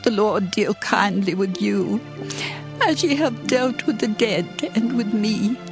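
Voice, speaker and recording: An actress playing a grieving, bitter older widow in a dramatized scripture reading, solemn and plaintive. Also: female voice, medium pitch, slow, music in the background. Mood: tender.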